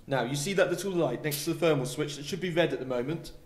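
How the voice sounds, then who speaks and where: A man's voice: on the high side, fast talker, a little hiss or hum, lightly acted. A man playing a chiding but caring space pilot father in a sci-fi audio drama. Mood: instructing.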